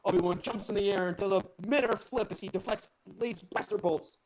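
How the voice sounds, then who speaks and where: A man's voice: high-pitched, a little fast, slight background noise, poor audio. A man narrating screenplay directions in a casual group read, dropping in amused asides.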